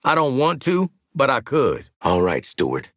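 An actor playing a tough, cocky, wry mercenary sniper in a video game, with streetwise, slangy, profane swagger. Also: male voice, medium pitch, huge pitch swings, a little slow, fairly bright tone, dry recording, studio quality, full character voice. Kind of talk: short video game combat and banter lines.

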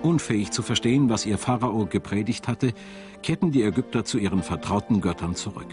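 A man's voice: fairly low pitch, a little fast. A man reading scripted narration for a documentary as its narrator. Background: music behind the voice-over.

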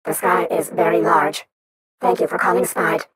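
A woman voicing a deadpan recorded phone operator for an alien-investigation agency. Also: on the low side, normal speed, a little hiss or hum, close to an everyday voice. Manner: deadpan, recorded-message delivery. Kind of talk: game voice acting.

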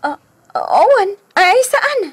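A woman's voice: very high voice, very animated, slow, dry recording, heavily acted. A woman with a Filipino accent acting in a radio drama as a chatty, nosy friend who drops by to sell things. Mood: hesitant. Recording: clear background noise.

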